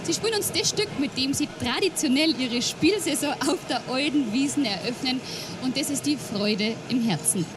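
High-voiced woman announcing a live TV show. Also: lively intonation, moderate pace. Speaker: TV presenter.

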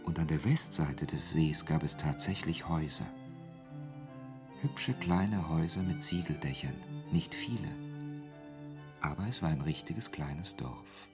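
An actor playing a wry author who narrates his story in a measured, literary way in a German radio play. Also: extremely low pitch, over music.

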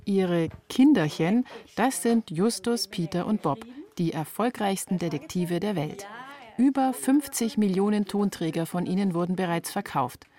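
A woman's voice: medium pitch, moderate pace, mellow. A woman reporter reading scripted narration for a radio feature.

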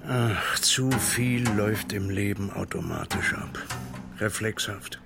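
Lofty, defiant dream-making subconscious. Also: male voice, fairly low pitch, fairly even intonation, slow, gravelly, loud music behind the voice. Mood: lofty, musing. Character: defiant artistic subconscious, maker of dreams, male. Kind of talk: comic radio drama acting.

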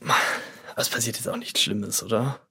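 A man's voice: lowish voice, a little fast, gravelly, bright, forceful tone, husky, no room sound. A man, chatting casually in a self-deprecating, hesitant way. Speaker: podcast guest telling a personal story. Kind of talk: casual chat.

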